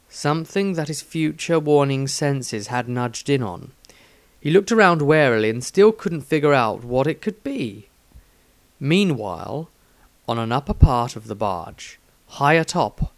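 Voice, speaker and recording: A man's voice: medium pitch, no room sound. A man narrating a fantasy story expressively, as an author reading his own work.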